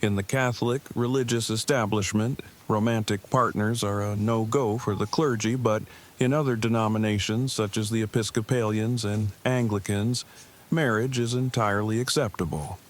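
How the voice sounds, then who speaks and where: Man playing a low-voiced, wordy, bookish survivor. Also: fairly even intonation, moderate pace, natural voice. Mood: matter-of-fact. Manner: verbose, formal, stilted precise phrasing. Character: earnest, awkward, bookish man in a post-apocalyptic survivor camp. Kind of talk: fan audio drama acting. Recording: clearly noisy background.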